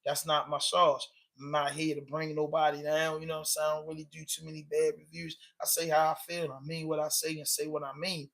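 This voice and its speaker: A man's voice: fairly high pitch, completely flat delivery, brisk, vocal fry, fairly bright tone. Laid-back Baltimore man chatting to a live stream. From Baltimore, Maryland, US. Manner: loose, rambling, repeats "you know what I mean", urges viewers. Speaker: live-stream vlogger and news reactor. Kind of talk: casual live-stream talk to chat. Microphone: camera on a tripod.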